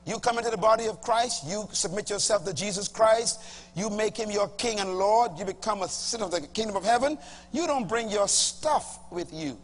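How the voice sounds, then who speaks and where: A middle-aged Bahamian man preaching a sermon to a congregation, emphatic, swinging from loud bursts to low asides. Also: normal speed. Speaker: pastor. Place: large church auditorium with a congregation. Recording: reverberant hall, faint hum.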